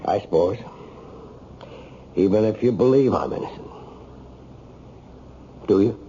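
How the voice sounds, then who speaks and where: A man's voice: fairly low pitch, animated, unhurried, dark tone. An actor playing a jailed, embittered but tender husband who swears he is innocent, earnest and plainspoken, in a radio drama. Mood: desperate insistence. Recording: dull, noisy old radio recording.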